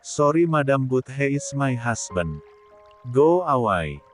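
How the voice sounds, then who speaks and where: A man's voice: mid-range voice, sing-song, slow. A man voicing a shy but blunt and jealous young village wife in an audiobook story, talking curtly and colloquially. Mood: possessive. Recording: music bed behind the voice.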